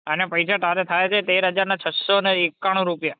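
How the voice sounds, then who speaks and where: A man's voice: sits high, little pitch movement, brisk, clear, resonant tone, dry recording, lightly acted. A man playing an exasperated, foul-mouthed garage mechanic, scolding impatiently in a scripted comedy phone call. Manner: scolding, impatient, swearing constantly. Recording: dull lo-fi phone call recording.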